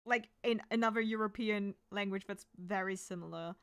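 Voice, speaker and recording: Smooth-voiced woman chatting loosely. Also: mid-range voice, normal speed, fairly bright tone. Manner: rambling, thinking aloud with many fillers. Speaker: artist streaming while drawing. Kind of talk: casual chat to stream viewers.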